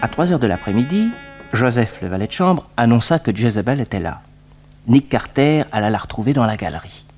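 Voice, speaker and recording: An actor playing a detective's loyal assistant who narrates the adventure crisply, in the style of an old French radio serial. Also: male voice, medium pitch, lively intonation, fairly quick, a little mellow, faint music behind, lightly acted. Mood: brisk narration.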